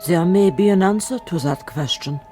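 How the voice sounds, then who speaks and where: A man's voice: sits high, moderate pace, natural voice. A male actor playing a polite, reserved, evasive onlooker in a radio drama. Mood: polite, guarded. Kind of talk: dramatic radio play acting. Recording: radio drama with music underneath.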